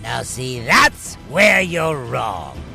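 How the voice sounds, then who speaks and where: A menacing male supervillain in an audio drama, speaks slowly and tauntingly. His pitch swoops wildly as he gloats, over prominent dramatic music. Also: big pitch swings, gravelly, full character voice. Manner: taunting, drawn-out, laughing menace. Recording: loud dramatic music behind.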